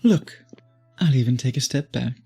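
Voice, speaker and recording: Male actor as seductive gentleman vampire, very breathy and husky, gravelly edge, courtly and teasing, romantic ASMR roleplay. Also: mid-range voice, faint music behind. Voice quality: breathy, husky, often dropping to a whisper. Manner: slow, courtly, teasing, flirtatious. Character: charming, seductive male vampire at a masquerade ball.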